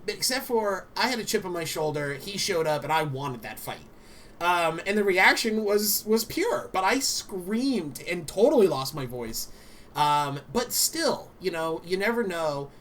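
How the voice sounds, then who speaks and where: Animated man telling stories in casual chat. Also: high-pitched, measured pace, slight background noise. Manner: animated storytelling, swearing, many fillers. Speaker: talk show co-host, streamer.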